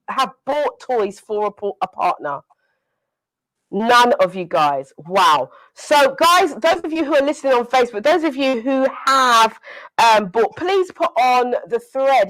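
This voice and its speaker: A woman's voice: high-pitched, fairly bright tone, rough recording. A woman with a British accent hosting a live online talk show over a video call, laughing often and talking straight to her viewers. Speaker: host.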